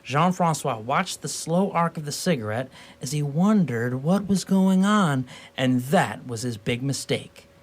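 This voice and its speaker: A man narrating a scripted radio play as a theatrical, deliberate storyteller. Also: fairly high pitch, animated.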